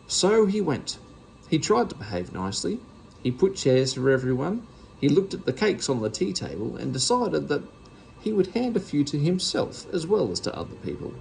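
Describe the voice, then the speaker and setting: A man's voice: fairly high pitch, very animated, normal speed, vocal fry, darkish tone, slight background noise, poor audio. A man reading a children's storybook aloud as narrator. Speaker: story reader.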